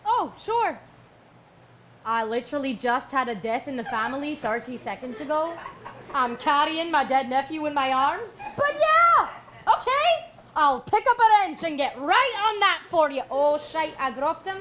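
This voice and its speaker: Exasperated male starship officer, played by a woman, sardonic, slow and swooping, live parody stage show. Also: sits high, animated, a bit bright. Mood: sarcastic, exasperated. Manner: sarcastic, exasperated. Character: grumpy, grieving, sarcastic male starship engineer and crew officers. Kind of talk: comedic staged musical reading. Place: live theater staged reading. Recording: live stage recording with clear background noise.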